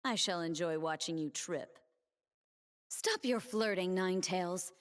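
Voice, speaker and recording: A woman's voice: on the low side, measured pace, natural voice. A woman playing a proud, stern young warrior who defends her homeland, with a solemn, defiant, cutting delivery for a video game. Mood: haughty, teasing. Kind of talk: video game character voice lines.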